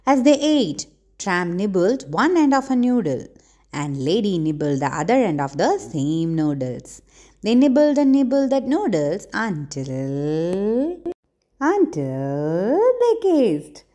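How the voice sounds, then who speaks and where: A woman reads a children's storybook aloud in a soft, mellow voice. Her pitch rises and falls a great deal as she takes her time over each phrase. The delivery is expressive, with dramatic pauses for young listeners. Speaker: storyteller, channel host.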